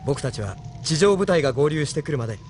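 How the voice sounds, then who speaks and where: A man's voice: fairly high pitch, lively intonation, quick pace, a little mellow, slight background noise, lightly acted. A man playing a brooding, self-doubting young resistance leader in a Japanese audio drama, earnest and introspective. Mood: resolute.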